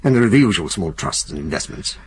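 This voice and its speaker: An actor playing a jovial, brisk older head of a law firm, hearty and commanding in a radio drama. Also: male voice, low-pitched, very animated, slightly rough, exaggerated voice. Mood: measured. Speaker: senior partner of a law firm.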